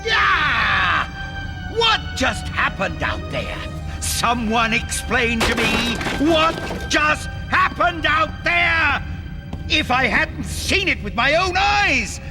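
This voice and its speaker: A high, shrill cartoon skeleton warlord, easily enraged and theatrical. The pitch leaps all over, the delivery is slow and drawn out, and music plays behind. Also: male voice, sing-song, heavily acted. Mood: outraged. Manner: theatrical outbursts, shouting. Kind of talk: cartoon villain acting.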